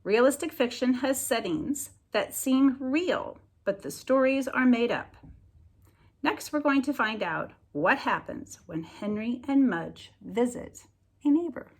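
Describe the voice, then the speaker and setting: Slow, lively-pitched woman teacher reading aloud. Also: husky. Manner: deliberate enunciation with expressive storybook emphasis. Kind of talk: slow expressive read-aloud lesson for children.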